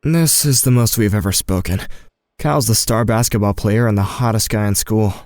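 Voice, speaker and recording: A man's voice: fairly low pitch, moderate pace, a little mellow, no room sound, clean studio sound, natural voice. A man playing an anxious, shy teenage boy who narrates his own story in an audio drama. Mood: smitten, self-deprecating. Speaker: narrator and lead actor.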